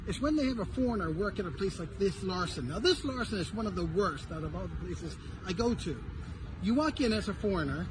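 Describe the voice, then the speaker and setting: A man's voice: high voice, background noise. A man living abroad ranting with irritation to the camera. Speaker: foreign resident in Japan. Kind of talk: complaining clip to camera.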